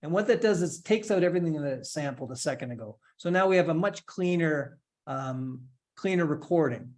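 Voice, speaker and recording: Male instructor in his forties, casual tutorial delivery, step-by-step with light humor, slightly high voice. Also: expressive, brisk, a little mellow. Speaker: instructor giving a software demo. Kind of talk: screen-share tutorial, casual explanation.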